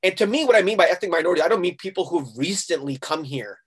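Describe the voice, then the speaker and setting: A lively, slightly high-voiced man from Hong Kong talks casually in an interview. He tells stories with energy and acts out both sides of a conversation. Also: fast talker, breathy edge. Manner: animated storyteller, acting out quoted exchanges. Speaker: guest musician.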